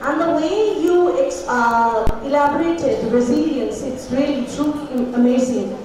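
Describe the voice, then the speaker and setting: A woman hosts a conference stage, polite and slightly halting. Her high voice rings through a large reverberant hall, heard from a distance with room noise. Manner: polite, with frequent hesitations. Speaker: conference emcee. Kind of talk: formal stage announcing. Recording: echoey hall, far mic, ambient noise.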